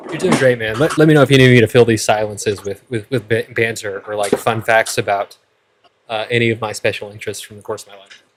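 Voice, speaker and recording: A man with a calming voice in casual chat, hesitant and repeating his words as he goes. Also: lowish voice, quick pace, background noise. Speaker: co-host following along with the recipe.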